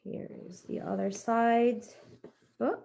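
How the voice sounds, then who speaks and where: A woman's voice: on the high side, steady tone, takes it slowly, creaky, warm tone, dull audio, a little hiss or hum. A woman presenting a children's craft tutorial step by step, informal and encouraging as she talks while working with her hands.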